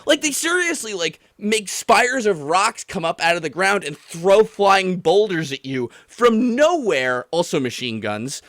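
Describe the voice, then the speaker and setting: A man's voice: high-pitched, unhurried, hardly any pauses, no echo, studio quality. A man hosting a livestream, ranting casually about a game in an exasperated, rambling, profane way. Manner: exasperated, animated, rambling, mimicking others in mock quotes. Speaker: podcast and livestream host taking callers.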